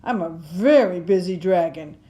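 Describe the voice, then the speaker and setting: A woman's voice: on the low side, animated, moderate pace, warm tone, close to an everyday voice. A woman voicing a boastful, busy male dragon in a storybook, pompous and emphatic. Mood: haughty, dismissive.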